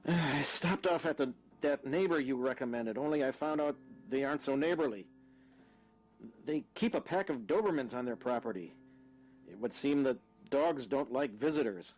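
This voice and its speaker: An actor playing an earnest, polite young husband in an audio drama, slightly formal and easily exasperated. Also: male voice, medium pitch, moderate pace, darkish tone, close to an everyday voice. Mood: weary. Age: young adult.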